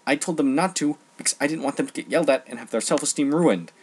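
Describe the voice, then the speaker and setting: A man delivering an informal opinion rant, emphatic and halting, often repeating phrases for stress. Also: on the high side, breathy edge. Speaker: online ranter.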